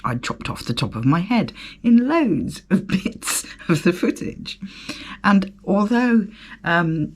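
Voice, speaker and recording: A middle-aged woman hosts her own podcast, her throat croaky from a cough. She talks at an unhurried, slow pace, rambling with fillers and poking fun at herself. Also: low voice, darkish tone. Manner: chatty, rambling, self-deprecating, with fillers and laughs. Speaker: podcast host. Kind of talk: solo casual podcast chat.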